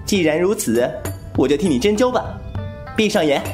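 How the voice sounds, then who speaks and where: A man's voice: on the high side, expressive, normal speed, music in the background, close to an everyday voice. A man playing a cheeky, boastful young rogue healer in a Chinese wuxia audio drama, playful and theatrical. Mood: teasing, boastful.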